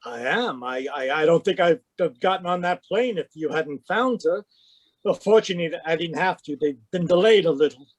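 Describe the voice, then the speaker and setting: A man's voice: a little high, expressive, normal speed. A man voicing a nervous impostor in an old-time radio play, flustered and stammering with overly polite talk. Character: nervous male impostor posing as a businessman.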